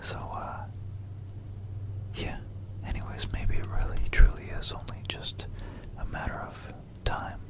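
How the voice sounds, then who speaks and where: Whispering ASMR host, rambling gently. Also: unhurried. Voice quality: unvoiced, breathy whisper. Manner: rambling, hesitant, gentle bedtime delivery. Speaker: ASMR video creator and host.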